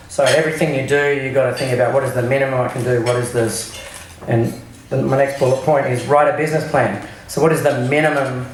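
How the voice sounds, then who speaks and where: A middle-aged man, hoarse from travel, giving a halting business talk into a microphone with many ums and restarts. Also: mid-range voice, slight background noise. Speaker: startup CEO giving a talk. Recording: very echoey room, faint clatter of dishes.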